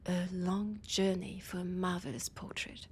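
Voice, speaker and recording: A woman's voice: fairly low pitch, level tone, a little slow, a little mellow, a little hiss or hum, natural voice. A woman with a French accent voicing an annoyed, imperious vampire scholar in an intimate audio roleplay, haughty and commanding, often slipping into a whisper. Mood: haughty, storytelling.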